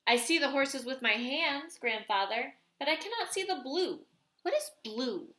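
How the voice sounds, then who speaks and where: A woman's voice: high-pitched, very animated, normal speed, sharp tone, roomy sound, a little hiss or hum, voice pushed up, full character voice. A woman voicing an eager, earnest young boy in a storybook read aloud. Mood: wondering.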